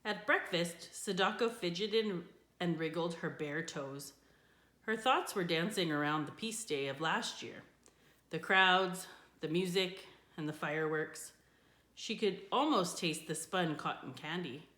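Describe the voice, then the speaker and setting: A woman reading a children's book aloud in an expressive storytelling style. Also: lowish voice, measured pace. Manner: expressive, with character voices for dialogue. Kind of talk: scripted storybook narration, read aloud. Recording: echoey room.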